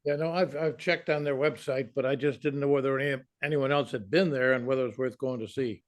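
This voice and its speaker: A man on a club conference call politely asking a quick travel question. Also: mid-range voice, fairly even intonation. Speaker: club member.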